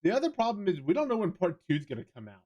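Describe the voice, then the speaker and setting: A man chatting casually as he streams a visual novel, speaking haltingly and trailing off mid-thought. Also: sits high, huge pitch swings, a little mellow, highs cut off.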